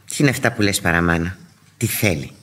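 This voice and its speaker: Stern older mistress of the house, very animated intonation, curt, scolding, radio theatre. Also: female voice, extremely low pitch. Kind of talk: Greek radio theatre acting.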